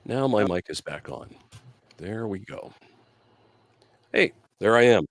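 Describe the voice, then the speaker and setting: A man's voice: on the low side, animated, slow, no echo. A man hosting a casual live product demo and interview, explaining things in an informal, conversational way. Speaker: host and product demonstrator.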